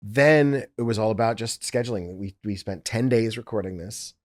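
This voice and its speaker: A man's voice: lowish voice, moderate pace. A man, an audiobook producer, talking casually and explaining his work step by step.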